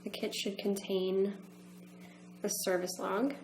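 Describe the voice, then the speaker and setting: A woman's voice: medium pitch, flat delivery, takes it slowly, a little mellow. Woman instructor in an echoey room. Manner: methodical, step-by-step. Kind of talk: instructional demonstration narration. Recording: roomy echo, faint background hum.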